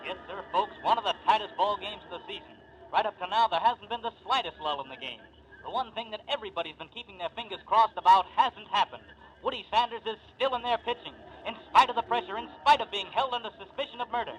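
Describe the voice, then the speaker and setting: Excitable folksy American ballgame announcer, high and rapid play-by-play, vintage radio drama style. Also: male voice, rough recording. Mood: excited.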